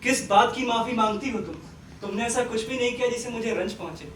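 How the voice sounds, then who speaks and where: An actor playing a kindly, forgiving middle-aged father in a Hindi stage play, speaking in a measured, gentle, reassuring way. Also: very high-pitched. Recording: roomy distant stage recording, faint noise.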